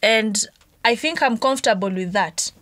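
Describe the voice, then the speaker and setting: A woman's voice: fairly high pitch, lively intonation, moderate pace, a bit bright. A young adult woman, chatting candidly and assuredly as a podcast guest, laughing often. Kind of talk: casual chat.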